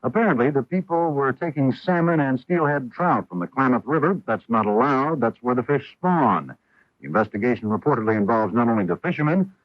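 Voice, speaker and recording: A man's voice: mid-range voice, dry recording. A man with an American accent reading scripted network news as a radio anchor. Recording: dull lo-fi 1980s radio broadcast.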